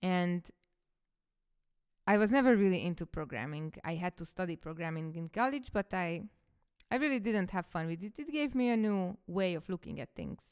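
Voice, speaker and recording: A woman's voice: fairly low pitch, animated, normal speed, darkish tone, smooth. A woman in her thirties, a coach and podcaster whose first language is Hungarian, telling her story in a reflective, casual monologue.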